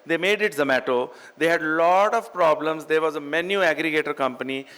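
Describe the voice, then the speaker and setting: A man's voice: on the high side, a little fast, a bit bright. A middle-aged man with an Indian accent giving an emphatic motivational business keynote, repeating phrases for effect. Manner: emphatic, repetitive phrasing, rhetorical questions. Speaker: keynote speaker, business executive. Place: large indoor event hall. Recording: echoey hall with long reverb.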